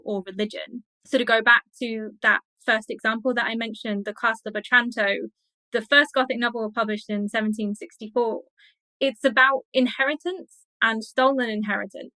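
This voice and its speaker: A woman with a fairly high, very bright voice talks as an academic guest in an interview. She explains things conversationally, with enthusiasm and emphasis, in a close, dry recording. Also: dry recording, low-quality recording.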